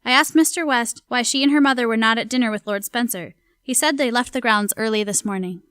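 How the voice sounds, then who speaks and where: A woman's voice: fairly high pitch, brisk, a bit bright, clean tone, no room sound, clean studio sound, natural voice. A woman playing an observant young household servant narrating her journal in an audio drama, plain and confiding. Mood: confiding, puzzled. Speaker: voice actor.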